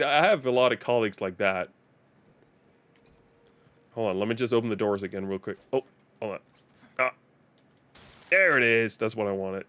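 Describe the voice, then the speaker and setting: Danish-accented man with a lowish voice. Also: a bit bright. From Denmark. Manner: chatty, laughs often, repeats words as he restarts. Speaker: streamer. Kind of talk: casual live-stream gaming commentary.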